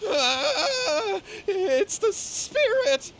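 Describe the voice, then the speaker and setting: A man's voice: very high-pitched, big pitch swings, drawn-out words, fairly bright tone, a little hiss or hum, heavily acted. An actor playing a timid, remorseful young man in a video game, meek and hesitant. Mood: terrified.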